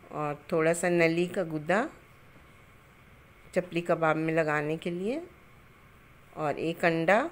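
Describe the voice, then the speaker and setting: A middle-aged woman with a somewhat low voice talks through a recipe step by step, calm and homely. She sounds like a home cook recording on a phone in her own kitchen, adding greetings and blessings as she goes. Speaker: cooking channel host.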